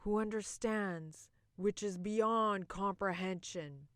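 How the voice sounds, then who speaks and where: A woman performing a theatrical monologue as a bitter, boozy middle-aged wife, mocking and self-loathing, with dramatic pauses and swings between loud and hushed. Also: mid-range voice, no room sound, studio quality, close to an everyday voice. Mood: anguished, regretful.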